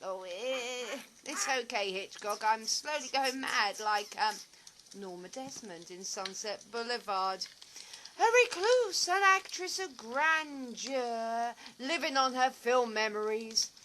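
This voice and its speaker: Theatrical, lonely middle-aged actress, played by a woman, audio drama. Bright, unhurried, dramatic rambling; faint noise behind. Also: a little high. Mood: wistful, playful. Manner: dramatic, rambling, self-amused monologue. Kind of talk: audio play acting.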